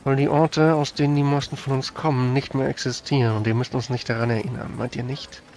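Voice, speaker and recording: A man's voice: mid-range voice, level tone, brisk, darkish tone, slight background noise, close to an everyday voice. A man playing a stern, formal fantasy adventurer with curt politeness in a tabletop role-play. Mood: reproachful.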